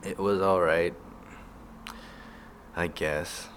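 Low-voiced Malaysian man, joking casual podcast host. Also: fairly even intonation, a bit bright, slight background noise. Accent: Malaysian English. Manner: jokey wordplay, halting with fillers. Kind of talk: playful casual chat mixing English and Malay.

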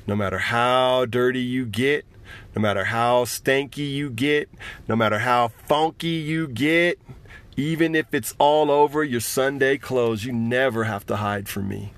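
A man voicing a mother who speaks very calmly and quietly. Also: on the low side, slow pace, pitched below the performer's own voice, exaggerated voice. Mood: gentle. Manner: hushed, gentle. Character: calm, loving mother speaking softly.

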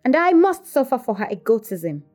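Audiobook role: lovestruck young girl, petulant, defiant, pitched high and impassioned, played by a female narrator. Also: very animated, normal speed, darkish tone, faint music behind. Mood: tearful. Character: infatuated, passionate teenage girl, indignant and defiant.

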